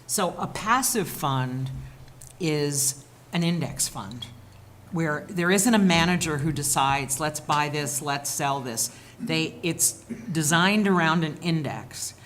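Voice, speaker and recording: A woman on a panel explains investing in plain, patient terms. Her voice sits low for a woman. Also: expressive, takes it slowly, a bit bright, slight background noise. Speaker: panelist, retirement finance expert. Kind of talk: explaining to an audience. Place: event room with audience.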